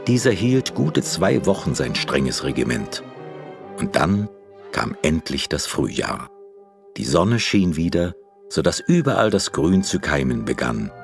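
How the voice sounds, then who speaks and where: An actor narrating a fairy tale audio drama in a measured, expressive, literary manner. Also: male voice, extremely low pitch, creaky, soft background music, exaggerated voice. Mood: storytelling.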